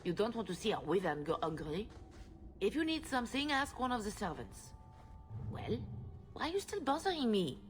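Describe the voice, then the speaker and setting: A woman's voice: mid-range voice, sing-song, normal speed, a little hiss or hum, lightly acted. A woman voicing a harried, snappish head of chateau servants in a video game, brusque and irritated.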